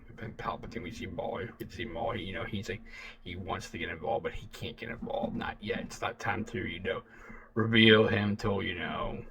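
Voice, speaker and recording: A man gives casual reaction commentary. His voice is low with noticeable fry, and he rambles in loose, repeating phrases, sounding a little away from the microphone. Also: fairly bright tone, recorded from a distance. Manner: halting, repetitive, loose phrasing. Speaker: reaction video host.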